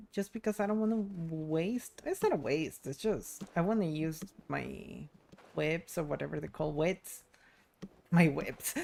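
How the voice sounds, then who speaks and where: A woman's voice: deep voice, creaky, a little mellow. A woman livestreaming a game, chatting casually and reading dialogue aloud in a playful, giggly manner. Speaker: streamer.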